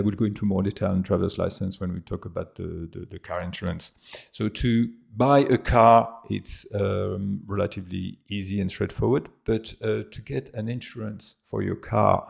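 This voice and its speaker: A middle-aged man speaks with a French accent in a casual vlog, talking straight to camera. His low voice has a heavy gravelly fry. He pauses often, stumbling over fillers and restarting his sentences. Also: a little mellow. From France and Australia. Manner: hesitant, many fillers and restarts. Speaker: expat vlogger.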